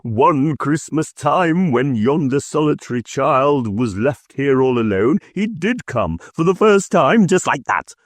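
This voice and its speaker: A man voicing an old male miser, speaking in a shrill, excited voice between laughing and crying. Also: moderate pace, few pauses, no echo, natural voice. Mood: ecstatic. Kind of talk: audiobook character voice.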